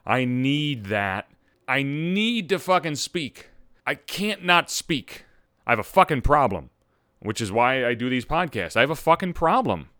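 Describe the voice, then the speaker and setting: A man hosting his own podcast, ranting loudly with heavy swearing after just getting over a cold. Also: mid-range voice, sing-song, measured pace, clear, resonant tone, no echo, studio quality. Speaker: podcast host. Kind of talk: profane comedic rant.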